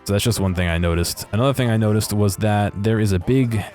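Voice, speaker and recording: A man giving casual, unscripted commentary over game footage in a hesitant, halting way. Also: on the low side, darkish tone, faint music behind. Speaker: video creator narrating game footage.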